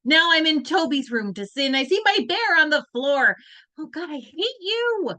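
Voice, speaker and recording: Woman reading a teenage girl, lively up-and-down voice, a bit high, video-call table read. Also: moderate pace. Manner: lively, swings between whiny protest and grand recital, breaks off to laugh at slips. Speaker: voice actor reading the lead teenage girl role. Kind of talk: live read-through over video call. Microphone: video call microphone.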